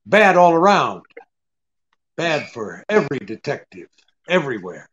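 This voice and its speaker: An actor playing a cynical, unyielding private detective in a 1940s-style radio drama, with terse, halting tough talk. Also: male voice, a little high, sing-song, a bit bright, muffled recording. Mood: grimly resolved.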